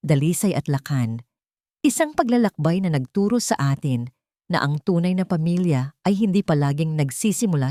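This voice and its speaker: A woman's voice: deep voice, dark tone, dry recording, clean studio sound. A woman reading a scripted, dramatic story as narrator.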